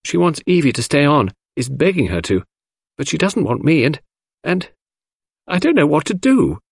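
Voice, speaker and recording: A man voicing a wistful, teasing young orphaned woman in an audiobook reading, lifting his husky voice into a lilting girlish tone. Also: fairly high pitch, sing-song, moderate pace, dry recording, voice pushed up, exaggerated voice. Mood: plaintive.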